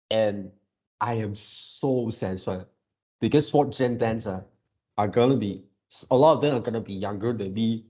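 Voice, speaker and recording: A man's voice: medium pitch, a little mellow. A man giving casual reaction commentary as a video creator, rambling like an enthusiastic fan.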